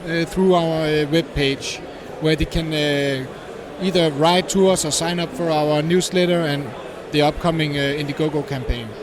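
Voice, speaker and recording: A man giving explanatory interview answers at a busy conference, halting and searching for words as a startup representative. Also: fairly high pitch, a crowd in the background. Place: busy tech conference venue. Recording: noisy event hall, steady din.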